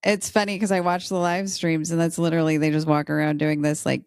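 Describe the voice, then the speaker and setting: Lower-pitched American woman, casual. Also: level tone, brisk, a little mellow, smooth, dry recording, clean studio sound. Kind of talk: casual chat.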